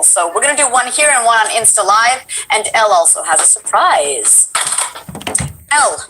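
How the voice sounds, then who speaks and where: A woman hosting a casual live stream, bubbly and excitable as she interviews her guest over a video call. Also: on the high side, slight background noise, poor audio. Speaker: streamer host.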